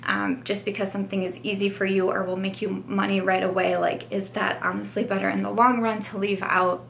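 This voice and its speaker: A young woman beauty vlogger speaking candidly to her viewers, earnest and hesitant, with many pauses and restarts. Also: medium pitch, little pitch movement, gravelly, fairly bright tone, a little hiss or hum. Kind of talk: candid apology vlog to camera.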